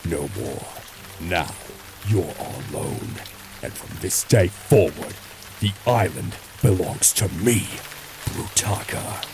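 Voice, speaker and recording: A man voicing a smug, treacherous warrior in an animated fan dub, gloating and menacing. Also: deep voice, noisy background, full character voice. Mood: gloating menace. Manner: slow, gloating. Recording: music and rain behind voice.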